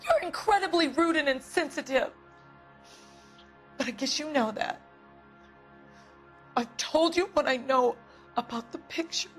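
Casting: prim, anxious American housewife, middle-aged, defensive and quick to take offence, game drama, clear music bed. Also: female voice, high voice, expressive, a bit bright. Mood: indignant. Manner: defensive, easily affronted. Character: prim, anxious, indignant wife of a missing man. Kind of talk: dramatic game cutscene acting.

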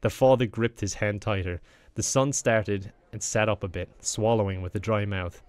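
A man doing playful stand-up banter, telling jokes in a drawn-out, mock-apologetic way. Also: lowish voice, fairly even intonation. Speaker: comedian and host of a gaming video. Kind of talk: joking stand-up banter in an online comedy game.

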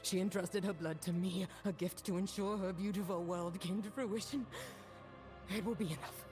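A woman's voice: medium pitch, steady tone, normal speed, lightly acted. A woman playing a fanatically devoted servant of an evil countess in a fantasy video game, theatrical, fervent and menacing. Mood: distraught, defiant. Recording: loud game music and effects behind.

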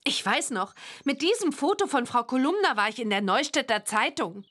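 Cheeky little witch girl for a kids game, high lively voice that swings around. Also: normal speed. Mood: fondly reminiscing. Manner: bright, exclamatory, sing-song when chanting spells. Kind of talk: children's computer game acting.

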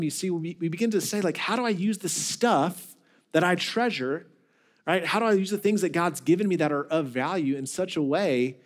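A man in his thirties, a pastor, preaching a conversational, animated sermon to a seated audience in a large hall, often repeating words as he thinks. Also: on the high side.

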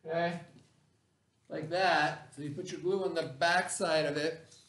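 A man in his forties, a teacher, giving slow step-by-step craft instructions to children from a classroom webcam. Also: a little high, steady tone, fairly bright tone. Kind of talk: remote classroom craft lesson. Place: classroom, indoors. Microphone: webcam at desk.

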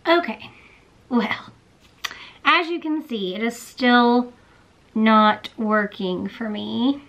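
A young woman in her twenties chatting casually in a bedroom vlog, a little hoarse after losing her voice, with lots of "um" and "like". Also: a little high, slow pace, a bit bright. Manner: chatty, many fillers like "um" and "like". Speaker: beauty vlogger. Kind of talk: casual product review vlog. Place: small bedroom, indoors. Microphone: camera in front at close range.

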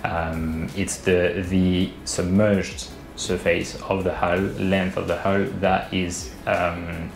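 A man's voice: deep voice, little pitch movement, takes it slowly, gravelly, few pauses, faint music behind. A man in his thirties, a boat designer, explaining things in an interview over a video call, hesitant with many fillers and restarts. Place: indoor room, video call. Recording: roomy webcam audio with faint hiss.

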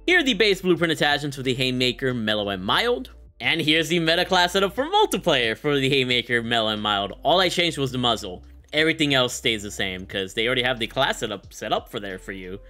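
A man's voice: medium pitch, big pitch swings, a little fast, sharp tone. A young man hosting a gaming video with casual, hyped, slangy commentary. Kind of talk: casual commentary on a game showcase.

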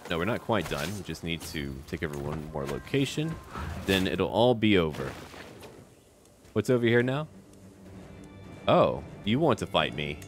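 A man with a deep voice gives casual commentary while playing a strategy game. His pitch moves around a lot as he muses aloud and talks to the characters in short remarks. Faint music plays behind him. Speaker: gaming video creator playing a strategy game. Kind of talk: casual let's play commentary.

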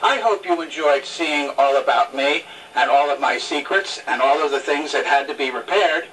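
Man as friendly talking old cassette recorder for a web video, polite and presentational, pitched a little up. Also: normal speed, a bit bright, far from the mic, slight background noise, close to an everyday voice, poor audio. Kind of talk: playful scripted sign-off in character.

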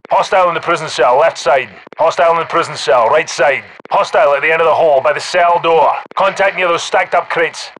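A man playing a gruff, battle-hardened Scottish special forces soldier in a military shooter game, giving clipped, urgent, commanding combat callouts. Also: a little high, pushed and bright, slight background noise, low-quality recording.

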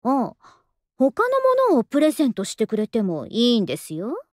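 A young anime game soldier woman with a high voice. She is languid and polite, with an understated affection, and her pitch swings widely. The sound is dry and close. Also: huge pitch swings, normal speed, a little mellow, no echo. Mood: polite, lazy. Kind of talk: Japanese anime game voice acting.

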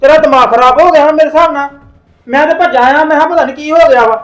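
Man playing a harried brother, high voice, quick, brusque, echoey room. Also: fairly even intonation, a bit bright. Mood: scolding. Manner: brusque, scolding. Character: harried older brother caught in family strife.

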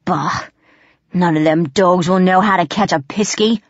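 A woman's voice: lowish voice, slow pace, dry recording, muffled recording. A woman voicing a menacing, gloating male goblin chief, a crude bully with rustic ungrammatical speech, in an audiobook. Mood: grumbling. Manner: rustic ungrammatical dialect, snarling threats. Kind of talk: audiobook character voice.

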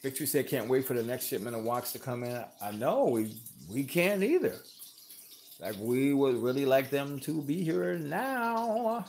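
A man's voice: mid-range voice, lively intonation, moderate pace, a little mellow, slight background noise. A man co-hosting a home cooking livestream from off camera in a kitchen, chatting casually and reading viewer comments aloud. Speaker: co-host reading live chat.

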